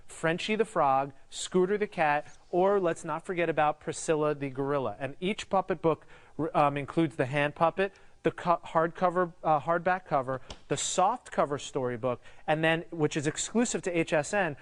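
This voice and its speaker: High-voiced shopping host, chatty product pitch. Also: male voice, moderate pace. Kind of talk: casual upbeat product presentation.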